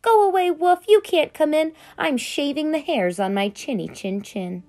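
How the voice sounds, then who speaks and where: A stubborn little pig, put on by a woman reading aloud, yells back in a high, shouty voice that refuses to budge. Faint music sits behind. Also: lively intonation, normal speed. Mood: defiant.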